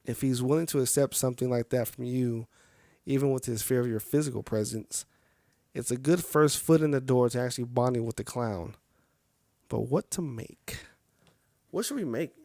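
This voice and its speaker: A man's voice: medium pitch, moderate pace, warm tone, no echo, studio quality. A man reading a game's story aloud on a live stream, with casual, chatty asides to viewers. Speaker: game streamer.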